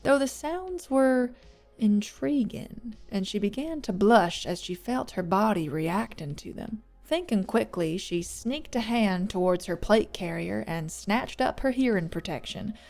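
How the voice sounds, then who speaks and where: A woman's voice: medium pitch, lively intonation, measured pace, creaky, darkish tone, soft background music. A woman reading scripted fiction as a narrator.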